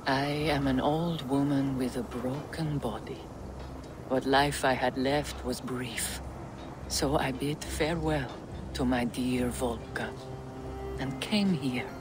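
An older woman playing a gentle, resigned old seeress in a video game, with a soothing, measured delivery. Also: a bass voice, steady tone, background noise. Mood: resigned, calm. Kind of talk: dramatic video game acting.

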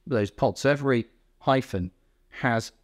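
A man in his thirties explains technical details as an expert would, informally and a little haltingly. His voice is mid-pitched, and he often rephrases himself along the way. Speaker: technical director explaining a product. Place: indoor company workroom.

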